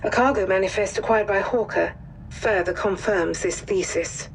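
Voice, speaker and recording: An actress playing a formal wartime intelligence officer, delivering a measured, precise mission briefing. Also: female voice, mid-range voice, a little hiss or hum, lightly acted, poor audio. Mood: formal, grave. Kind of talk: scripted mission briefing narration.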